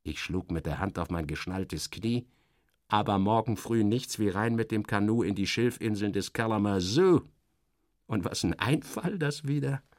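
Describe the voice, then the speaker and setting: Casting: male actor as weary, wry journalist narrating a radio drama, theatrical and very animated, close dry sound. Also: on the low side, normal speed, no echo, natural voice. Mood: musing, wistful. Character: sardonic American male journalist, restless, weary, recounting his journey. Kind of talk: radio play first-person narration with acted dialogue.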